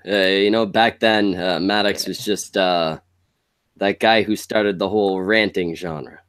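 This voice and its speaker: Lowish guy hosting a podcast, stumbly, dry close sound. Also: fairly even intonation, a bit bright, smooth, no room sound. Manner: halting, many filler ums. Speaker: podcast host. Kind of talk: casual interview chat.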